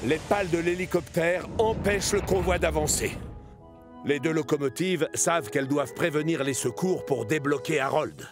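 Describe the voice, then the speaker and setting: High-pitched male storybook narrator over music. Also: moderate pace. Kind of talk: children's cartoon storytelling narration.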